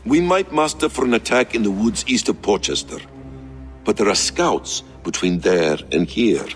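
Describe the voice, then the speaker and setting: An actor playing a patient, shrewd Viking war counsellor in a video game, deliberate and persuasive. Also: male voice, fairly low pitch, lively intonation, soft background music, close to an everyday voice. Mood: measured. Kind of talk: dramatic video game acting.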